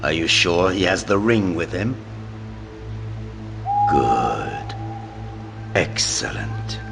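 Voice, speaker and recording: A lordly vampire villain in a dramatic game cutscene. The voice is extremely deep and very slow, deliberate and gloating with menace, set against loud music. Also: male voice, very animated, vocal fry, heavily acted.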